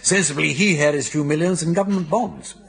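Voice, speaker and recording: An actor playing a witty, teasing older English aristocrat in a British radio comedy, chatty and urbane with a wry theatrical manner. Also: male voice, on the high side, fairly quick, vocal fry, a bit bright. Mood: breezy.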